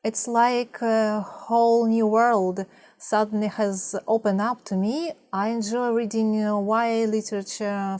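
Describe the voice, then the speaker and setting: A woman from Russia speaks English with a Russian accent, her voice at a middle pitch. She talks softly and haltingly to camera, pausing often on fillers as she searches for words. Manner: soft-spoken, hesitant, many fillers. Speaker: language-learning vlogger. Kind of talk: partly scripted talk to camera.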